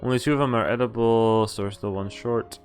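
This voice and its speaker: A man's voice: lowish voice, fairly even intonation, a little fast, faint music behind. A man streaming a game, reading its story text aloud with casual, amused, sweary commentary.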